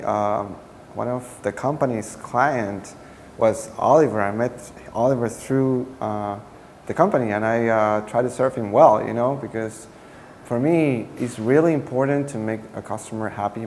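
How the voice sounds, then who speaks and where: A man answers questions in an earnest, searching way, often correcting himself mid-phrase. His voice sits at an ordinary pitch, recorded with a lingering room echo and a faint background hiss. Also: moderate pace, a little mellow. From Peru. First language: Spanish. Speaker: startup co-founder. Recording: echoey room with faint noise.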